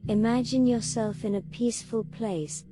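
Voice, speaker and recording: A woman reading a slow guided meditation in a gentle, soothing, measured way. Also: fairly high pitch, rough recording. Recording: voice over clearly audible music. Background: gentle relaxation music.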